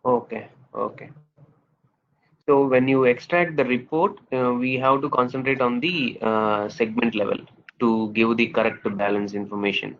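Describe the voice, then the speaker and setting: A man's voice: medium pitch, steady tone, moderate pace, lo-fi sound. A man in a training class asking hesitant questions, with many "uh"s as he works out what to ask. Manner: hesitant, filler-heavy questioning.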